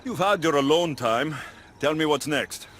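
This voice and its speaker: A man's voice: a little high, expressive, normal speed, fairly bright tone. An actor playing a blunt, determined warrior hero in a video game, earnest and direct in his delivery. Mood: questioning. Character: blunt, determined male warrior hero, wary but loyal. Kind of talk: video game cutscene acting. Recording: music behind the voice.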